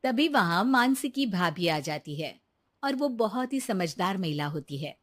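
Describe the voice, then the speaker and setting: A woman's voice: medium pitch, big pitch swings, measured pace. A woman delivering scripted narration as the storyteller of a moral tale.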